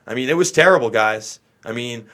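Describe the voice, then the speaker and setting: A man's voice: mid-range voice, animated, fairly quick, a bit bright. An American man giving casual, unscripted political commentary as a show host, in a blunt, exasperated, opinionated way.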